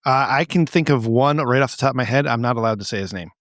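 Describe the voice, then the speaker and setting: A man's voice: mid-range voice, fast, a bit bright, dry recording, clean studio sound. A man co-hosting a podcast, telling stories in casual comic banter and repeating phrases for emphasis, with some swearing. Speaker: podcast co-host.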